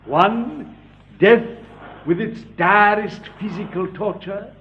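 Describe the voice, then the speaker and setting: An actor playing a cruel inquisitor who torments prisoners with formal, measured menace, in the style of old radio horror. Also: male voice, high-pitched. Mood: cold, formal. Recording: muffled, noisy old radio recording.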